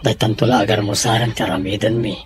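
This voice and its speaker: A man with a Filipino Ilocano accent playing a gentle, caring father in an audio drama. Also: lowish voice, little pitch movement, quick pace, background noise, close to an everyday voice. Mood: fond, musing. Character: gentle, caring father thinking fondly of his children. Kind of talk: audio drama monologue and dialogue.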